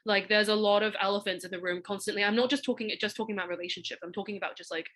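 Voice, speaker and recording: A woman's voice: mid-range voice, steady tone, racing, fairly bright tone. A young woman from Hong Kong hosting a casual chat, rambling with lots of "like" and "you know". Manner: chatty, fills with "like" and "you know". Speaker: podcast host. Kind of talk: casual conversation.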